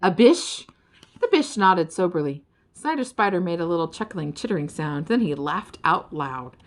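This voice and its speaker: Lively low-voiced woman storyteller. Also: normal speed. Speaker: audiobook reader. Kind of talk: expressive children's story narration.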